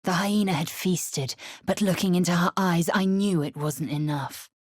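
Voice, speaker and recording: A woman playing a fierce, proud githyanki warrior in a video game, with a husky, raspy voice and a clipped, haughty, formal manner. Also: on the low side, normal speed, no room sound, studio quality, lightly acted. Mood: solemn, brooding. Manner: clipped, haughty, imperious, formal archaic phrasing.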